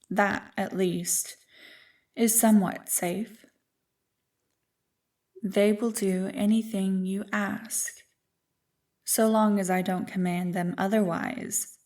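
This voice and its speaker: A woman voicing an ancient, evil primordial being in an ASMR roleplay, smug and tempting, with a deliberate, taunting delivery. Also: medium pitch, fairly even intonation, a little mellow, recorded from a distance, natural voice. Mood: sly, commanding. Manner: slow, deliberate, taunting, coolly amused.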